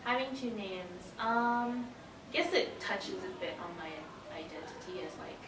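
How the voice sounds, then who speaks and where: A woman's voice: mid-range voice, lively intonation, measured pace, crowd noise. A young woman giving casual, thoughtful interview answers with some hesitation in her phrasing. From Canada. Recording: echoey, distant microphone, noisy room.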